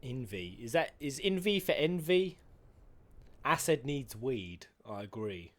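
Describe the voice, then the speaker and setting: A man's voice: mid-range voice, big pitch swings, measured pace, slight background noise. A man giving casual, playful, sweary and theatrical reaction commentary as he streams game cutscenes. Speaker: streamer, gaming host.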